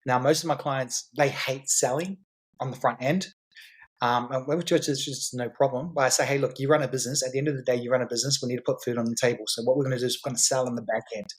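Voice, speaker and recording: A man's voice: medium pitch, steady tone, fast, breathy edge. A man as a guest on a podcast, explaining his business in a confident, salesy way with frequent repeats and restarts. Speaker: guest entrepreneur.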